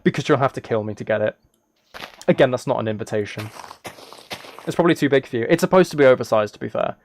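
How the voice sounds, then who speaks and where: A man's voice: medium pitch, expressive, fast talker. A man chatting casually to viewers as he livestreams a game, playful and teasing, drifting into rambling asides. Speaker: livestreamer and host. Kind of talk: casual livestream chat while playing a video game. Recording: clear noise with key clicks and typing. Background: keyboard typing and clicks.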